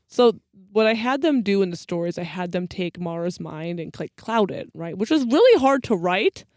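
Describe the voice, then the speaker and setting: A woman with a clear, smooth voice, recorded dry and close. She tells stories as casual chat, like a podcast co-host. Her retellings come in flippant everyday slang. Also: on the low side, sing-song, normal speed, a little mellow, dry recording.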